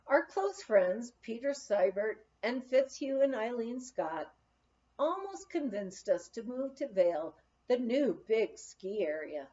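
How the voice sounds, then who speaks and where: Slow, lively woman reading a memoir. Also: a little high, dull audio. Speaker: audiobook reader. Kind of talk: scripted memoir narration, read aloud.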